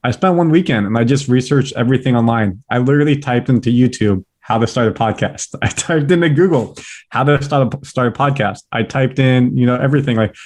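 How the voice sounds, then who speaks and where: Young californian guy on a podcast, upbeat and fast, lots of likes. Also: medium pitch, a little mellow. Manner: upbeat, talks fast in bursts, many "like" fillers. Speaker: podcast guest. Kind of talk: casual interview chat.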